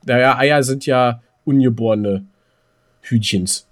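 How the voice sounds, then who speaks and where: Man, German accent, podcast co-host, casual banter, explanatory, mid-pitched voice. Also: slow.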